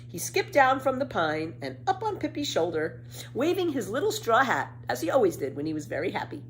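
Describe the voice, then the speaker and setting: Animated woman reading a children's story. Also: fairly high pitch, a little fast, poor audio. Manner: dramatic, emphatic storytelling. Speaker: storybook reader. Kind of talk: expressive children's story narration. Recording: faint background noise.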